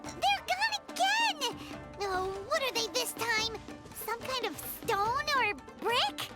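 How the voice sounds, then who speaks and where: Squeaky childlike game companion. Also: female voice, lively intonation, takes it slowly, over loud music, exaggerated voice. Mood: puzzled. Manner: exclaiming, scolding, cheeky. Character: small, brash, indignant childlike female companion. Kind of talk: animated video game voice acting.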